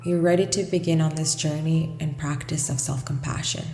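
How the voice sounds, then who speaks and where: A woman with a low voice guides a meditation over music, in long, washy reverb. She gives gentle, scripted instructions and leaves generous pauses between them. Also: steady tone. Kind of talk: guided meditation, slow soothing scripted narration.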